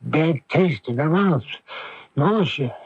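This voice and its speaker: An actor playing a weary, kindly older family doctor who grumbles in an avuncular way, in a radio drama. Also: male voice, fairly high pitch, animated, takes it slowly, creaky, slightly rough, dry recording, heavily acted. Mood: grave. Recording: dull lo-fi vintage radio broadcast.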